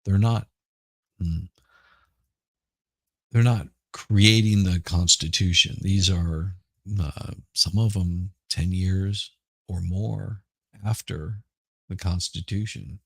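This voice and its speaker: A middle-aged man chatting casually as a podcast host, hoarse and rambling, often repeating words and restarting. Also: low voice, steady tone, slow, pauses often, no echo. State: hoarse from smoke. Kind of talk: casual livestream chat.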